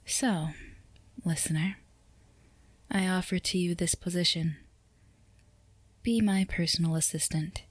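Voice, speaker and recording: A woman acts out a poised female CEO with a low voice, recorded very dry and close. She is flirtatious and composed, teasing the listener in formal, unhurried phrases, in the manner of an ASMR roleplay. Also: fairly even intonation, dry recording. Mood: composed, persuasive.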